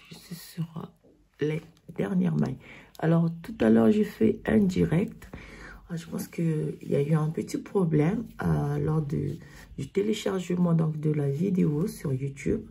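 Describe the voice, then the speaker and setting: A woman with a cold, hoarse, slowly explaining knitting stitches step by step in a tutorial. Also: low-pitched, warm tone. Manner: slow, step-by-step instructions.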